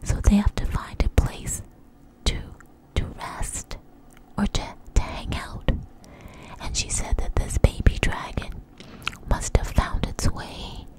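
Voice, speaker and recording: A woman telling a story in a soft, breathy ASMR whisper, close to the mic, in a conversational, hesitant way. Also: slow pace, low-quality recording.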